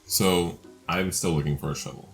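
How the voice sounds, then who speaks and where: A man's voice: low-pitched, steady tone, normal speed. A man chatting casually as a player in a tabletop game. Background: faint background music.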